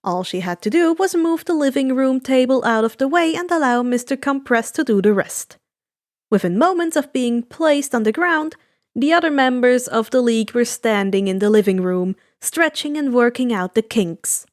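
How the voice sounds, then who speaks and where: A woman's voice: fairly high pitch, expressive, normal speed, darkish tone, no room sound, clean studio sound. A woman reading fan fiction aloud as a scripted narration. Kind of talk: scripted storybook narration with character voices.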